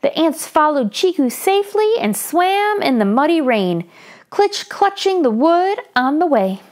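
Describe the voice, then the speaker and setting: Female storyteller, high voice, very expressive swings in pitch, children's read-aloud narration. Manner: animated, deliberate storytelling delivery. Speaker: children's story reader, channel host.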